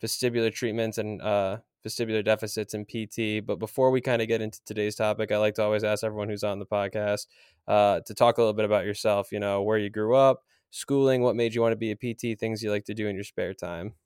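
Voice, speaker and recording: Low, flat-voiced male podcast host. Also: quick pace, clean tone, no echo, studio quality. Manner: relaxed, uses fillers, friendly asides. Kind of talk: casual interview podcast.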